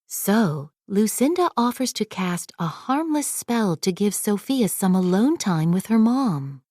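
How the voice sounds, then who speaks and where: A woman reading a children's story aloud as a scripted, expressive narration. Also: mid-range voice, measured pace, no echo, clean studio sound. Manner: expressive read-aloud delivery.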